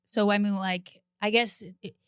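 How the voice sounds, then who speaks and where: A woman's voice: mid-range voice, fairly even intonation, moderate pace, fairly bright tone, clean tone, no room sound, clean studio sound. A woman hosting a podcast, interviewing her guest in a blunt, jokey, casual way. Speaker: podcast host. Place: podcast studio.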